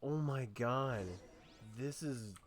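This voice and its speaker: A man casually reacting while playing a video game, talking haltingly and repeating himself. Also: mid-range voice, soft background music. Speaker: game streamer.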